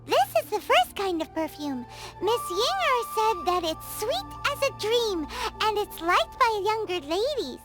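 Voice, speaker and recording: A woman's voice: very high-pitched, very animated, unhurried, over music, exaggerated voice. A woman voicing a tiny, chirpy, childlike fairy companion with a curious, cheeky manner in a video game. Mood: eager, chirpy. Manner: refers to self in third person, eager, exclamatory. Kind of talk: English video game voice acting.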